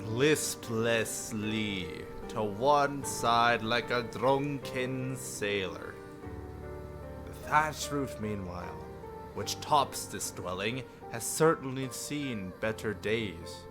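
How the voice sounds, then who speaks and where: Guy streamer reading a story game out loud, takes his time, goofy asides, music going behind him. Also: mid-range voice, extremely slow. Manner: jokey, stumbles over the text he reads. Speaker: livestreamer. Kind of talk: reading a visual novel aloud with joking asides.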